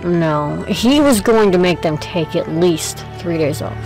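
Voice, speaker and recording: A woman's voice: lowish voice, animated, a little slow, creaky, music in the background, rough recording. A woman narrating a scripted audio drama as its storyteller.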